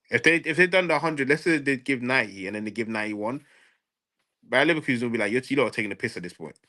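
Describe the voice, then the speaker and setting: A man's voice: fairly low pitch, pushed and bright. A man in a casual football transfer debate, speaking emphatically and repeating phrases for stress. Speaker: football fan show panelist.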